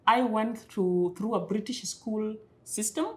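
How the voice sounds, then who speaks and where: A young woman from Kenya telling stories in a relaxed conversation, repeating words as she starts and laughing along. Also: mid-range voice, measured pace. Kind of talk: conversational storytelling.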